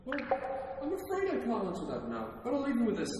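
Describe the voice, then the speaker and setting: Audio drama villain, male actor: playful, menacing, mock-sweet criminal genius, very animated, drenched in hall echo. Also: very high-pitched, quick pace, exaggerated voice. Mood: taunting. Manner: taunting, theatrical, mock-affectionate. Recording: very echoey, distant, noisy hall.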